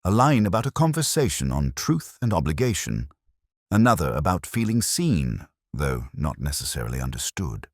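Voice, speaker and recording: A man narrating a scripted first-person detective story in a measured, brooding, literary manner. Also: a bass voice, very animated, dry recording, clean studio sound.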